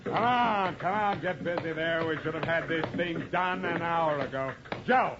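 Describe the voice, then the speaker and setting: A male actor plays an impatient American construction boss, gruff in temper and fairly high in pitch. It is a muffled old radio drama recording with clear noise. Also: a little slow, natural voice. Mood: impatient, annoyed. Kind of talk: 1940s radio acting.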